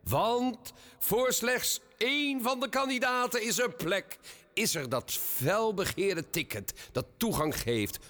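A man's voice: sits high, animated, takes it slowly, a bit bright, exaggerated voice. A man playing a showy, ceremonious host of a game show in the afterlife, in a satirical radio play. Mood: suspenseful. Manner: theatrical, ceremonious announcing.

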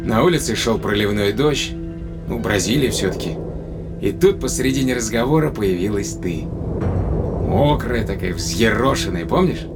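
An actor playing a tender but probing spaceship captain in a Russian audio drama, speaking conversationally with deliberate pauses. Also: male voice, lowish voice, lively intonation, loud music behind the voice. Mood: gentle, coaxing. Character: male spaceship captain, long-lived, tenderly probing his companion.

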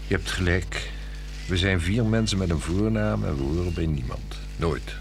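A man's voice: low voice, normal speed, gravelly, background noise. A man acting a dry, reserved husband in his fifties in a 1960s radio drama. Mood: curious, flirtatious. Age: 50s. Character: wry, reserved husband of fifty, cautious but curious. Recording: 1960s radio play, music behind.

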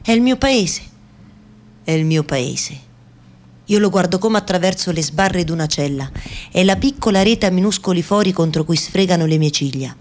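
A woman acting a fearful yet defiant Afghan woman in a radio theatre monologue, speaking in deliberate, repetitive short phrases. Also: lowish voice, animated, darkish tone, lightly acted. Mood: tense, oppressed. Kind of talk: dramatic first-person monologue, radio theatre.

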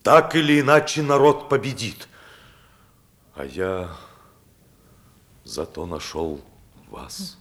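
An idealistic politician and romantic in a radio drama. His voice is fairly low and swings widely in pitch. He moves from hushed confession to ardent declamation, full of self-reproach. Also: very animated, takes it slowly, pauses often, full character voice. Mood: bitter, self-reproaching. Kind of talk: theatrical Russian radio drama acting.

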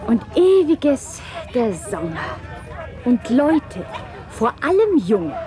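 An actress playing an observant woman who lists impressions in deliberate, clipped fragments as a poetic radio monologue. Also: a little high, sing-song, over music, a crowd in the background. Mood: contemplative.

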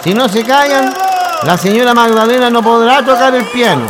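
High-pitched fussy Chilean cinema manager, acted by a man. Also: moderate pace. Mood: scolding, pleading. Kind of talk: amateur radio play acting.

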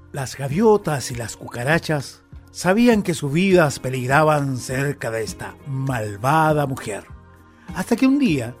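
A man giving dramatic storybook narration for a radio play. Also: on the high side. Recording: faint music behind narration.

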